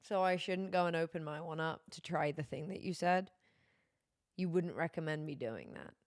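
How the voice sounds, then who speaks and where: A tired young woman streaming, chatting casually with her chat, her voice going hoarse. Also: deep voice, normal speed. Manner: rambling, chatty, many fillers.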